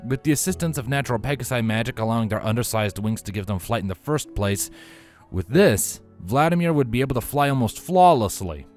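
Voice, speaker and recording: A man's voice: mid-range voice, lively intonation, a little fast, a little mellow. A man reading a scripted story as an audiobook narrator. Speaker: audiobook narrator of fan fiction. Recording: music bed under the voice.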